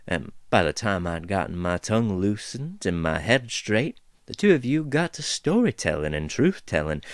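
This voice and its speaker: A man's voice: low voice, dry recording, studio quality, heavily acted. A man voicing a chatty female dwarf who rambles in a folksy drawl, in a storybook reading. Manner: meandering, drawling, folksy turns of phrase.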